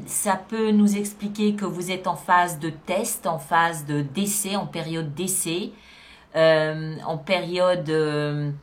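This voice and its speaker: A woman with a medium-pitched voice gives a spoken tarot reading to her audience online. She explains each card emphatically, restating phrases to underline her meaning. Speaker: tarot reader and channel host.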